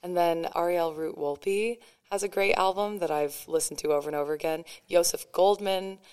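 A woman musician guest chatting eagerly on a podcast, her voice lower than usual today. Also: steady tone, normal speed, darkish tone, smooth. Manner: enthusiastic, bubbly, breaks into song. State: voice an octave lower than normal. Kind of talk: casual podcast chat.

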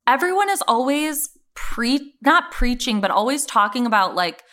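A woman's voice: fairly high pitch, very animated, moderate pace, sharp tone. A woman co-hosting a podcast, talking informally and conversationally, with plenty of "like" and "you know". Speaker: podcast co-host. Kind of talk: casual TV recap discussion.

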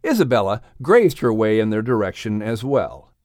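Male audiobook narrator for children's tale: lively, varied intonation, dry studio-close sound. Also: fairly low pitch, normal speed, no echo. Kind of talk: scripted children's story narration.

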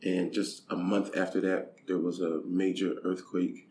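A man's voice: sits low, level tone, unhurried, a little mellow. A man from Haiti who grew up in the United States, a charity director, speaking thoughtfully in an interview and often repeating words as he finds them. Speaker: guest, charity country director. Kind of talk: conversational interview. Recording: echoey room with faint noise.

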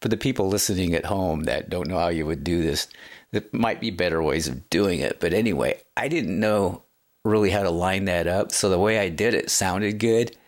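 A man hosting a casual interview, rambling and self-deprecating, with many halting fillers. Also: deep voice. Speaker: host and songwriter.

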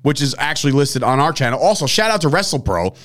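A man's voice: on the high side, very animated, fast talker, a bit bright. Laughing, rambling male podcast host. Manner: rambling, laughs often, profane. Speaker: sports betting show host. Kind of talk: casual podcast banter.

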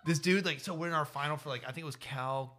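A young man co-hosts a casual podcast, telling a rambling story and breaking into laughter often. His voice sits a little high. It has a bright, forceful tone. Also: fast, slight background noise. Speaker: podcast co-host. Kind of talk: casual chat.

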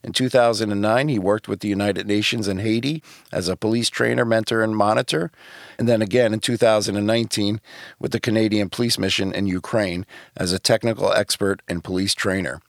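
A man's voice: on the low side, fairly even intonation, a little fast, vocal fry, dry recording, studio quality. A man, co-hosting a podcast in a conversational style. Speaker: podcast co-host.

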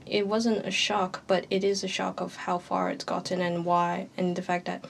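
A woman's voice: lowish voice, completely flat delivery, moderate pace, gravelly, slight background noise. A woman in her early twenties from Hong Kong, a university student speaking earnestly and emphatically in a roundtable discussion. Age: early 20s. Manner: earnest and emphatic, with occasional laughs.

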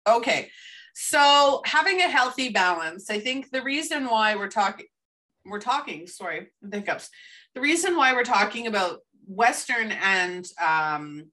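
Bright-voiced Canadian woman, off-mic. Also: a little high, expressive, measured pace, far from the mic. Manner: enthusiastic, rambling, frequent restarts. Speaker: podcast co-host. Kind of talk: casual podcast chat.

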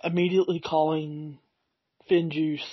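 Slow, slightly raspy man recapping. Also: a little high, flat delivery, dry recording, dull audio. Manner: rambling, recounting with fillers. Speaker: podcast host. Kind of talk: solo podcast recap.

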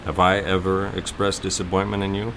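A man playing a gentle, concerned middle-aged father in an audio drama, speaking in a halting, thoughtful way. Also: sits low, little pitch movement, background noise. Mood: earnest, urging.

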